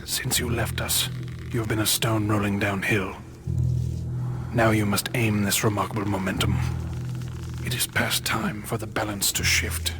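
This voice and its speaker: A man acting as a cryptic member of a secret order, with a fairly low voice. He is grave and formal, an oracular game character heard under loud music. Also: little pitch movement, normal speed, pauses often, close to an everyday voice. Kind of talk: video game cutscene voice acting.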